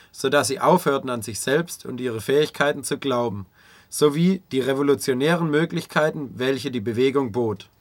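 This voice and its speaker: A man narrating a scripted radio documentary in a measured reading manner. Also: medium pitch, no room sound.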